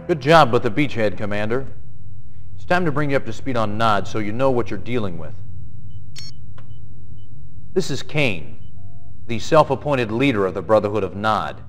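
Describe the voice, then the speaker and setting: An actor playing a brisk, gruff general who briefs a field commander in a clipped, authoritative way in a video game cutscene. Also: male voice, lowish voice, animated, fairly bright tone. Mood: businesslike, urgent. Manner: clipped, authoritative, matter-of-fact. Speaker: military commanding officer. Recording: noisy 1990s game video audio.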